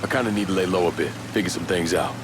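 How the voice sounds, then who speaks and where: An actor playing a laconic, wary young American war veteran in a video game, speaking in dry, casual slang. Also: male voice, low voice, rapid-fire, natural voice. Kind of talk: acted game dialogue, casual banter. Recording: car engine noise behind. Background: moving car ambience.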